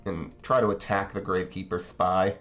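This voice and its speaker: A man's voice: low voice, little pitch movement, normal speed, a bit bright. A man giving casual commentary on his card game matches, thinking aloud and explaining his plays, with a slightly congested voice. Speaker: card game channel host. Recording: slightly dull recording, faint background music.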